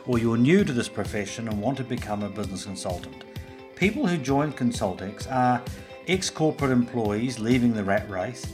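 A middle-aged man with a New Zealand accent hosts a business podcast. His voice sits at an ordinary, medium pitch, and he speaks as a presenter to listeners. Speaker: podcast host. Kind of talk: scripted intro and informal interviewing.

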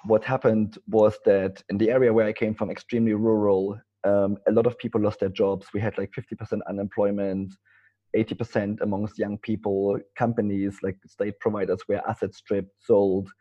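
A middle-aged man speaks with a German accent in a low, husky voice. He rambles through his answers with frequent ums and restarts. The audio sounds dull and lo-fi. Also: level tone, normal speed. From East Germany. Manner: rambling, hesitant, many fillers and restarts. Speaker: guest. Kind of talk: casual interview talk.

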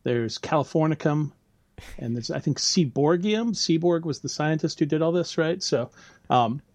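A man's voice: fairly high pitch, expressive, normal speed, no room sound. Man, American accent, middle-aged, chatty and explanatory, dry close sound. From United States. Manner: explanatory, with frequent ums and laughs. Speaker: guest, biology lecturer. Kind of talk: casual interview talk.

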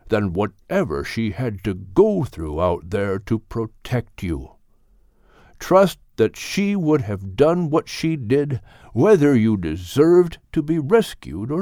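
A man voicing a wise, gentle older male animal mentor in an audiobook, speaking in a measured, firm but kindly way. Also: medium pitch, very animated, dry recording, studio quality. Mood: firm, instructive. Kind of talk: audiobook character voice.

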